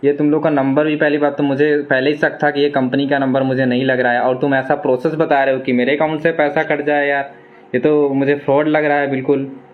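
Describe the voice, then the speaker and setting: A man's voice: a little high, little pitch movement, brisk. An insistent man in an argumentative phone conversation who keeps repeating his point. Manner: repetitive, insistent. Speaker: video creator recording a live phone call with a suspected scam caller. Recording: thin phone-like sound.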